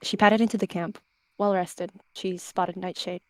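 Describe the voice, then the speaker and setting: A woman's voice: on the low side, flat delivery, normal speed, dry recording. A woman giving dramatic third-person narration of her character in a story roleplay.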